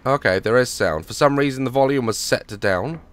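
A hoarse young man on a headset mic, nervously joking and swearing through a horror game as a gaming YouTuber. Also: medium pitch, a little fast, fairly bright tone, no echo. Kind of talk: horror game let's play commentary.

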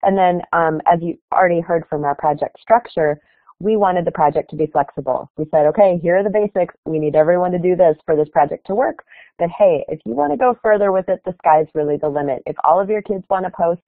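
A woman's voice: fairly low pitch, a little fast, dry recording. Woman presenting over a tinny call line. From Chicago area, United States. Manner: earnest, explanatory, with fillers. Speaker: keynote speaker. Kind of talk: webinar presentation. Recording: tinny phone-like online call audio.

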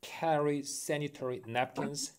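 A man's voice: a little high, steady tone, clean tone. A man with a Korean accent, a native Korean speaker, carefully reading English phrasebook sentences aloud over a phone call during a practice session. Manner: careful, deliberate read-aloud, slightly halting.

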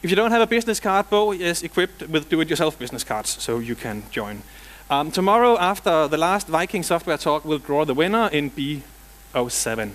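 A man's voice: on the high side, animated, a little fast, a bit bright. A middle-aged man from Denmark with a Danish accent, giving a technical conference talk in a large hall with dry jokes and casual asides. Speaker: conference speaker.

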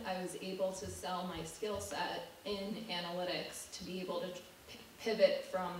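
A woman on a panel, briefly introducing her background in a measured way. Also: lowish voice, a little hiss or hum. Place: large library room. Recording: very echoey room from far off.

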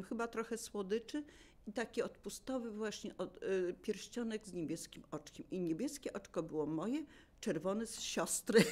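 An older woman casually reminiscing as a local resident, in a chuckling, animated storytelling manner. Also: a little high. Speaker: local resident sharing memories.

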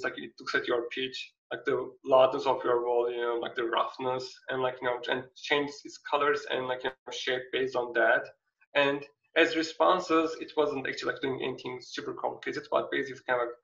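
Turkish-accented man, gravelly, on a roomy call. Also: mid-range voice, pushed and bright. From Turkey. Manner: halting, heavy on fillers like "like, you know". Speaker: visiting artist lecturer. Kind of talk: casual presentation over video call. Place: small room at home. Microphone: laptop webcam mic on video call. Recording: roomy, distant, dull lo-fi video call audio.

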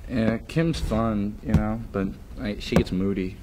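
A man's voice: on the low side, a little slow, natural voice. Young actor reading an easygoing teen guy, hesitant, plain voice, faint noise. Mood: casual. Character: mellow laid-back teen boyfriend. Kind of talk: script table read. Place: conference room at a long table.

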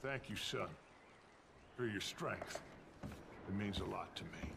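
An actor playing a charismatic, middle-aged American outlaw gang leader with a persuasive, oratorical manner in a video game. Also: male voice, lowish voice, slow pace, creaky, background noise, exaggerated voice. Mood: reassuring, persuasive. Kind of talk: dramatic video game acting.

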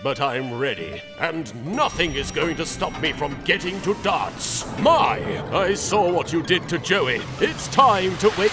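A man voicing a vain, melodramatic ancient pharaoh in an anime parody, with grand theatrical delivery. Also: on the high side. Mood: smug. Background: background music.

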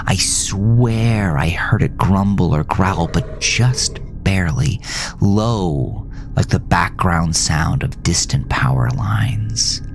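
Gravelly guy reading a scary story, slow n brooding, dramatic. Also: low-pitched. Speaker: horror podcast narrator. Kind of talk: scripted first-person horror narration. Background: music.

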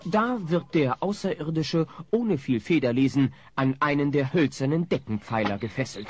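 Animated wry narrator. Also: male voice, a little high, normal speed, breathy edge, dry recording, faint music behind. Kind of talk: scripted comedy story narration.

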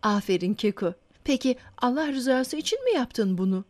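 A woman playing a gentle, devout, encouraging mother in a children's cartoon, speaking patiently. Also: fairly high pitch, expressive, moderate pace, natural voice. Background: background music.

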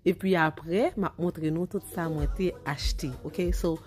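Low, mellow-voiced woman vlogger. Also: fairly even intonation, unhurried. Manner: chatty, keeps asking "ok?". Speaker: home decor vlogger. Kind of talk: casual shopping vlog chat. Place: home living room and decor stores.

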